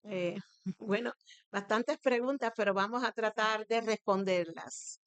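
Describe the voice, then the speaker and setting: A woman in her sixties with a Cuban accent, answering interview questions as a guest in a formal, halting way. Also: medium pitch, a bit bright, clean tone, no room sound. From Santiago de Cuba, eastern Cuba. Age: 60s. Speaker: guest, teacher and education academic. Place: radio studio.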